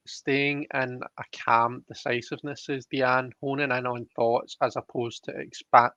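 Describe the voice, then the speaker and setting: A man speaks as the host of a live tarot reading, casual and conversational. His voice sits at an ordinary pitch, and he often checks in with whoever is listening. The sound is dry and a little muffled. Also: sharp tone, dry recording.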